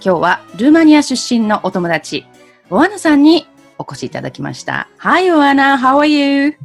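A woman's voice: sits high, fast, creaky, faint music behind. A woman, hosting a friendly interview and laughing often as she chats. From Japan. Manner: animated, chatty, laughs often. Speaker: podcast host. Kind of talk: casual interview chat.

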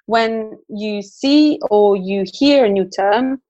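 A woman's voice: mid-range voice, takes it slowly, warm tone, muffled recording. A woman from Italy who speaks Italian natively, chatting as a guest about how she learns English, with frequent fillers and restarts. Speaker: guest interpreter. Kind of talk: casual chat. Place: London.

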